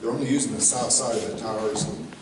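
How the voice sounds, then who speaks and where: A man's voice: lowish voice, steady tone, fast talker, noisy background. A man in the audience at a public meeting, asking blunt, insistent questions and repeating himself. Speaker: audience member making public comment. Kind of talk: informal questioning from the floor. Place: public meeting room indoors. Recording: echoey room, loud crowd, distant mic.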